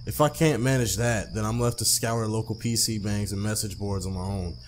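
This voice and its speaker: A man's voice: lowish voice, steady tone, moderate pace, slight background noise. A man hosting a let's play, reading a visual novel aloud conversationally, with casual asides and stumbled, repeated phrases. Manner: conversational, stumbles and rereads lines.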